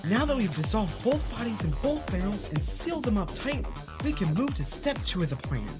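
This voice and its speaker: A woman's voice: medium pitch, big pitch swings, normal speed, over music, close to an everyday voice. A woman playing a cheerful, shady fixer who talks in a sing-song children's show manner in a parody audio drama. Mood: brisk, cheery.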